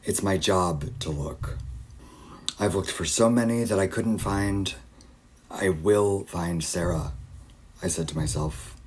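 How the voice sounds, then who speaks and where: A man playing a distraught, obsessive middle-aged father in an audio drama, haunted, halting and repeating himself. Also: low voice. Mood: haunted, brooding. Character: distraught obsessive father, a searcher recording his nightmare. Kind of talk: audio drama monologue and dialogue.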